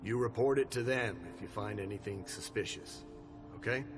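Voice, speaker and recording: A man playing a middle-aged, weary police captain in a video game, authoritative and deliberate. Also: fairly low pitch, natural voice. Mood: frank, resigned. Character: weary, seasoned male police captain, gruffly commanding. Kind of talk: video game cutscene voice acting. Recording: music under the voice.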